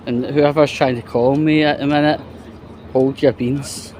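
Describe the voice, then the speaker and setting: Slow-talking man, halting and disapproving, noisy recording. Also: medium pitch, fairly even intonation, a little mellow. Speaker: commentary YouTuber. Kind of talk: casual opinion commentary.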